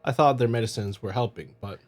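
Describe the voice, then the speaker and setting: A man's voice: medium pitch, a little fast, a little mellow, lightly acted. Man voicing a placating husband, visual novel schemer, falsely caring, coaxing, patronizing, music behind. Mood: feigned concern. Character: smooth, placating, scheming husband feigning loving concern.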